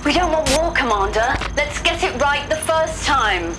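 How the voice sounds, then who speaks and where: An actress playing a measured, businesslike intelligence briefer in a video game cutscene. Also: female voice, sits high, lively intonation. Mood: serious. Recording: music underneath.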